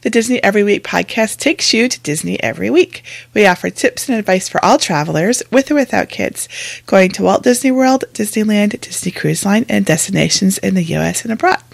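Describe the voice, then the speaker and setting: A woman's voice: medium pitch, fairly quick, gravelly, no room sound. A woman with a slightly scratchy voice hosting a podcast in a casual, chatty way. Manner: chatty, laughs easily. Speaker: podcast host.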